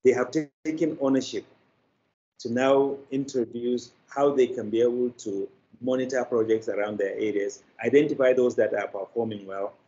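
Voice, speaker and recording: An older man with a Nigerian accent telling anecdotes in an interview, choosing his phrases in a deliberate, halting way. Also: mid-range voice, fairly even intonation, warm tone. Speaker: media producer, interview guest. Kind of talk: interview answer, anecdotal storytelling. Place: indoor room, on a video call. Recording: slightly dull video-call audio.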